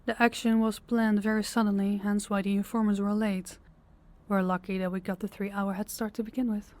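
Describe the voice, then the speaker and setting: A woman's voice: mid-range voice, flat delivery, brisk, darkish tone, close to an everyday voice. A woman playing a composed, commanding crime boss in a scripted audio drama, businesslike and authoritative. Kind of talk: scripted audio roleplay acting.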